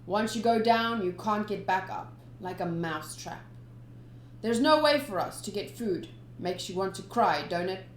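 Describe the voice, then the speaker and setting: Woman putting on a gruff old pirate captain. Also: mid-range voice, lively intonation, normal speed, reverberant, a little hiss or hum, lightly acted. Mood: grim. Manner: grim, matter-of-fact threats.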